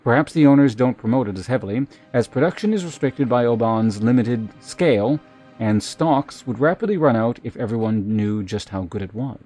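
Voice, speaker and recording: Man, livestream host, medium pitch, casual, halting delivery, faint music in the background. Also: expressive, mellow. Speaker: live streamer host. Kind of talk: casual livestream chat and reading aloud.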